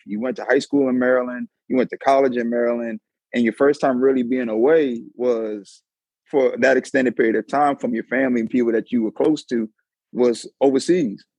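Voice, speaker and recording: A man's voice: medium pitch, a little mellow, slightly rough, no room sound, rough recording. A man interviewing a friend, asking long, loose questions and repeating words as he goes.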